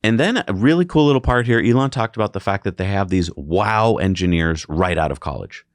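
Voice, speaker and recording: A man's voice: lowish voice, expressive, a little fast, no room sound, studio quality. A man co-hosting a conversational news recap, explaining points and paraphrasing what others said. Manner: frequent paraphrased quotes, explanatory. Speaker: co-host.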